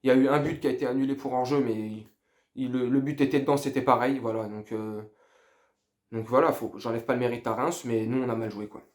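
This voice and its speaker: A middle-aged man records a casual football debrief for his followers. He speaks in loose, slangy phrases, often hesitating and circling back, with a let-down, unenthusiastic manner. His pitch sits in the middle for a man. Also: steady tone, fairly quick, a little mellow. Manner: informal, slangy, hesitant and rambling; underwhelmed, lacking enthusiasm. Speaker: football fan vlogger. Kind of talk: casual post-match debrief to viewers.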